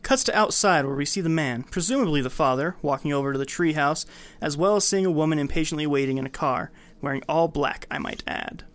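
A man with a slightly high voice hosts a film podcast. He reads a scripted recap and comments casually. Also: a little fast, fairly bright tone. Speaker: podcast host.

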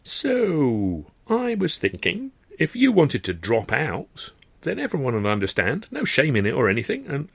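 A man's voice: mid-range voice, creaky, fairly bright tone, no echo, full character voice. A man voicing a jovial, scheming theatre man who fusses over an injured colleague with false kindness.

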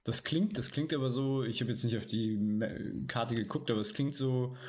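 A man's voice: mid-range voice, moderate pace, darkish tone. A man hosting a casual interview, asking questions in a hesitant way full of fillers. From Baden-Württemberg.

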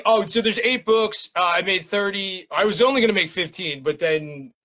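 Male, higher-pitched and bright, casual interview guest with a loose, meandering delivery. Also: moderate pace. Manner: rambling, self-interrupting, frequent "like". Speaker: interview guest, poet and small-press publisher.

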